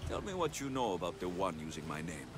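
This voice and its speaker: An actor playing a young, cocky, wry mercenary hero of ancient Greece in a video game cutscene, speaking with confidence. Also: male voice, low voice, sing-song, fast, over music. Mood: businesslike.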